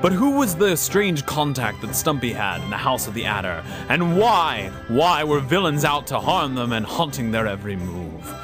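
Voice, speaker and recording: A man playing a solemn, suspenseful narrator of an adventure serial, with drawn-out dramatic delivery. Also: mid-range voice, sing-song, music in the background, pitched below the performer's own voice, lightly acted.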